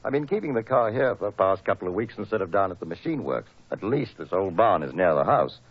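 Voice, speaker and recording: Casting: proud rich inventor, husky and lively in pitch, earnest enthusiast, old-time radio drama. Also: male voice, quick pace, heavily acted, poor audio. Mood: affable. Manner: emphatic, earnest. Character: wealthy, proud, enthusiastic male motor car inventor.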